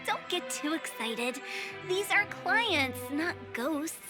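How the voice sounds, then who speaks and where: A woman's voice: very high-pitched, big pitch swings, extremely slow, music in the background, heavily acted. A woman voicing a playful young female funeral parlor director in an anime video game. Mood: breezy, reassuring.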